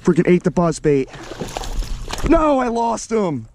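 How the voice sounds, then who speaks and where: An American man narrating his fishing trip from a kayak on a lake in casual, excitable commentary, talking straight to his viewers. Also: high voice, measured pace, slight background noise. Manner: excitable, slangy, talks to viewers as "guys". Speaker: fishing vlogger. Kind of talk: casual running commentary to camera. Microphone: action camera mounted on the kayak.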